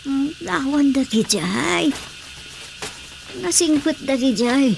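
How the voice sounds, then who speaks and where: A woman's voice: high voice, animated, slow pace, creaky, music in the background, heavily acted. A woman with an Ilocano accent playing a practical, reassuring older village mother-in-law in a Filipino radio drama.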